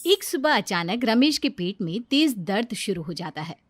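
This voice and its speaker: A woman narrating a cartoon moral story in a steady, plain storytelling voice. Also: mid-range voice, normal speed.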